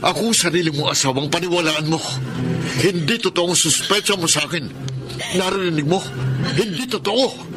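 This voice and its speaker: A man's voice: sits high, normal speed, music in the background, close to an everyday voice. A man acting in a radio drama as an evasive husband who speaks defensively to his wife. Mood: indignant. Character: evasive, defensive husband, a real estate agent.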